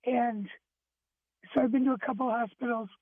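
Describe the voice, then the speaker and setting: A woman calling a radio show with a hoarse, strained voice that is nearly lost, short of breath. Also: fairly high pitch, steady tone, moderate pace, dry recording. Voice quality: husky, strained. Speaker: first-time caller to a radio show. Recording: narrow phone line.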